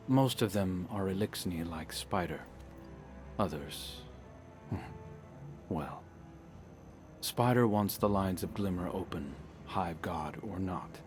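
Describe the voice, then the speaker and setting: A brooding young hunter for a video game. His voice sits very low and moves slowly, understated and wry, guarded in every phrase. Faint music runs behind him. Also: darkish tone. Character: brooding, guarded young male hunter serving a crime boss.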